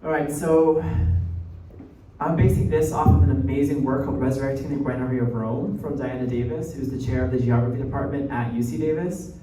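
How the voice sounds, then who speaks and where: A man's voice: medium pitch, steady tone, brisk, dark tone. A young American man from New Jersey giving an informal academic talk as a guest speaker, conversational and often checking in with the listeners. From New Jersey, United States. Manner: conversational, often tags points with "right?". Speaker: guest lecturer, graduate student. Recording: muffled, echoey room, distant mic, faint noise.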